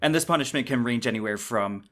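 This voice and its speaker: A man's voice: mid-range voice, fast talker, fairly bright tone. Male podcast host, wry storytelling delivery. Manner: dramatic emphasis with wry, colloquial asides. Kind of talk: semi-scripted conversational narration, storytelling monologue.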